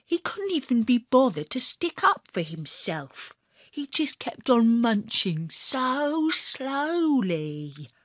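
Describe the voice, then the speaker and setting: A woman narrating a children's story in first person as a boastful hare, playful and lively. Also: a little high, takes it slowly, dry recording, clean studio sound.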